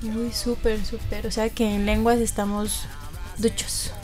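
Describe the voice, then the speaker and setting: A woman's voice: mid-range voice, flat delivery, unhurried, a little mellow, soft background music. A woman hosting an interview, friendly, backing the guest with "ujú" and "súper". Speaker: radio host. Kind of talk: interview chat.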